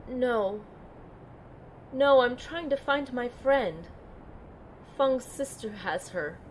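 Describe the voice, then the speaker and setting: A woman acts a tender-hearted young heroine in an audio drama. Her voice is fairly high, and she speaks slowly and earnestly as she tells her story. Faint noise sits behind her. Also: expressive, rough recording. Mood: hesitant, concerned. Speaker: narrator and lead character. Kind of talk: first-person audio drama narration and dialogue.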